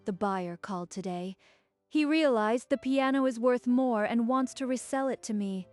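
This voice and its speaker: A woman's voice: on the high side, moderate pace, darkish tone, soft background music, natural voice. A woman voicing a scheming sweet-talking stepmother. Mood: persuasive. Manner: sweet, persuasive tone. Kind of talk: manga dub voice acting.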